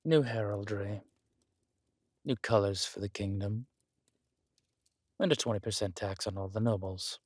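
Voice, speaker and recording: Male actor as villain king, low voice, unhurried, regal, wry, menacing, intimate, audio drama roleplay. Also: breathy edge, no room sound, studio quality. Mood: cold, menacing. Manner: slow, measured, smugly theatrical, intimate.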